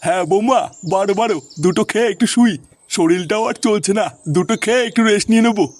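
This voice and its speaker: A man's voice: high-pitched, fast talker, no echo, close to an everyday voice. A man voicing a grumpy, foul-mouthed old father and grandfather in a comedy cartoon, scolding and complaining in an earthy way. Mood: weary complaining.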